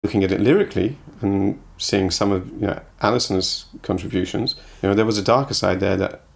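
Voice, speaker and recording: A man's voice: deep voice, fairly quick, gravelly. A man reflecting thoughtfully in a documentary interview.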